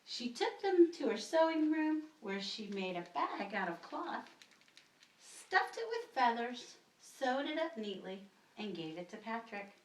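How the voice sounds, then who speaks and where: A woman's voice: on the high side, very animated, measured pace. A woman narrating a children's story expressively, as a storyteller. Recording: roomy, distant mic, faint noise.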